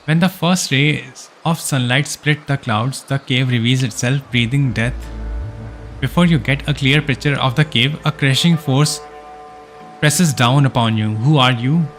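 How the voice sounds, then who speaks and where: A man's voice: mid-range voice, measured pace, fairly bright tone. A man with a mid-range voice is streaming a game. He reads the story text aloud, then breaks off into casual, chatty reactions. Music plays faintly behind him.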